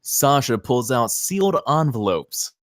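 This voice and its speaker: A man's voice: medium pitch, sing-song, measured pace, no echo, clean studio sound. A man narrating the stage directions of a screenplay at a table read.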